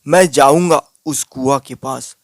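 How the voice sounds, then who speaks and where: Man as slow-speaking earnest young villager Raju. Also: medium pitch, dry recording, studio quality, close to an everyday voice. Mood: insistent. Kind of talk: cartoon horror story dialogue.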